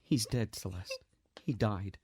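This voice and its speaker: An actor playing a grieving, guilt-ridden man in an audio drama, speaking in a halting, hushed, earnest way with a husky voice. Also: on the low side, lively intonation, dry recording, clean studio sound, heavily acted. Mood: grieving, gentle.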